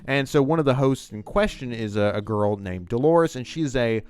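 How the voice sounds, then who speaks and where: A man's voice: mid-range voice, expressive, moderate pace, a little mellow, smooth. A man hosting a video essay, explaining things in a loose, conversational and emphatic way. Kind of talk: casual, unscripted explanatory commentary on TV writing.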